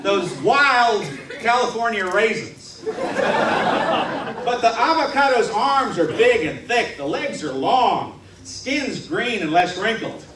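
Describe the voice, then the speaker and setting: A man telling a comic tall tale on stage in a theatrical, mock-serious way with dramatic pauses. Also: high voice, very animated. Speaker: humorous contest speaker. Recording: roomy hall, distant mic, faint noise.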